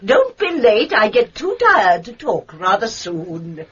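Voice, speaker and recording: An actress with an English accent playing a chatty, fussy, warm-hearted middle-aged theatrical landlady aunt in a British radio drama. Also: mid-range voice, wildly swooping pitch, slow, breathy edge, heavily acted. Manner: gushing, scatterbrained, endearments and exclamations. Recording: muffled radio recording with background noise.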